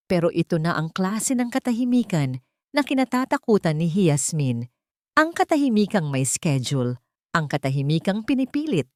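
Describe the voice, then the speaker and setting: A woman with a Filipino accent reading a dramatic scripted audiobook story in a theatrical, deliberate way. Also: medium pitch, a little mellow, dry recording, studio quality. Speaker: audiobook narrator.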